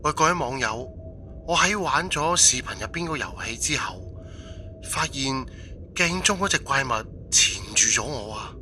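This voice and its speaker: A man's voice: medium pitch, lively intonation, unhurried, sharp tone, slight background noise. A man playing an exasperated, colloquial character on a ghost forum in an audio drama, with a Cantonese accent. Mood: fretful, pleading. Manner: colloquial, exclamatory.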